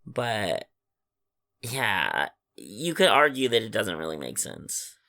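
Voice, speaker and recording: A man's voice: on the low side, huge pitch swings, slow pace, creaky, sharp tone. A man co-hosting a podcast, giving blunt opinions in casual film chat. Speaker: podcast co-host.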